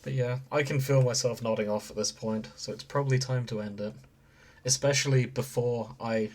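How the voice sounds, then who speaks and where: A man's voice: mid-range voice, steady tone, normal speed, darkish tone. A man co-hosting a podcast in casual conversation, with a dry, understated sense of humour. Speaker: podcast co-host. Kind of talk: casual chat.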